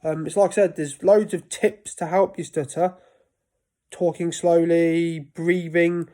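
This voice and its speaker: Man in his 30s, stutters and restarts words, slightly high voice, mellow tone, casual talk to camera. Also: little pitch movement, unhurried. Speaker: vlogger.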